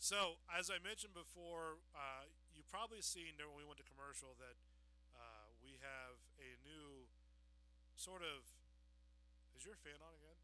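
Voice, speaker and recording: A man's voice: a little high, moderate pace, sharp tone, rough recording. A man hosting a casual talk show, rambling and hesitant with lots of "uh" and "sort of". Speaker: host.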